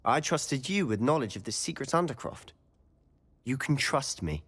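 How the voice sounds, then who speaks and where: An eager schoolboy wizard. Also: male voice, mid-range voice, normal speed, slightly rough. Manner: lively, confiding, curious.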